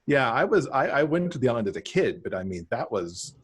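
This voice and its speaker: A middle-aged man with a husky voice, chatting casually as a guest author on a video call. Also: medium pitch, very animated, a little fast, a little hiss or hum. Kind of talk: casual interview chat. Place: indoor room, on a video call.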